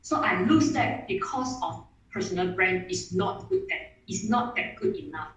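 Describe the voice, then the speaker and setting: A woman's voice: fairly high pitch, expressive, normal speed, a bit bright. Eager woman presenting in an echoey room. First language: Mandarin. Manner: eager, repeats words and restarts phrases. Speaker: webinar speaker. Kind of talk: online presentation. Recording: echoey room audio from a distance.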